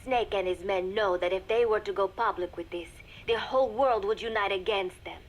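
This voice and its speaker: A woman voicing a composed young female spy in a video game audio log, speaking formally with measured, deliberate diction. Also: medium pitch, close to an everyday voice. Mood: cool, calculating. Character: composed young female spy secretly reporting to a shadowy master. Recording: noisy cassette tape recording with ambience.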